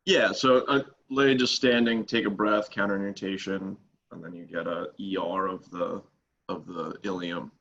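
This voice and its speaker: A man with a very deep voice comes through on muffled, lo-fi call audio. He reasons aloud tentatively, putting informal technical questions to the host. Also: steady tone, normal speed, fairly bright tone. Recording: dull, low-fidelity call audio.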